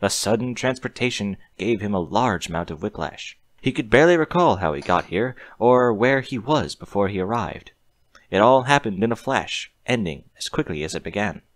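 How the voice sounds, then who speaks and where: A man with a fairly low voice narrates a written story in audiobook fashion. His pitch moves in lively, animated swings as he tells it. The sound is dry, with very little room to it. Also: normal speed, no echo. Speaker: narrator reading fan fiction aloud. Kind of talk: scripted audiobook-style narration.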